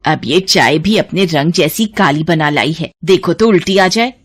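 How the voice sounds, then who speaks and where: A woman's voice: low voice, lively intonation, normal speed, a little hiss or hum. A woman voicing a harsh, contemptuous older mother-in-law in a cartoon family drama, scolding and sneering dramatically. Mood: scornful. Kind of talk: Hindi cartoon family melodrama acting.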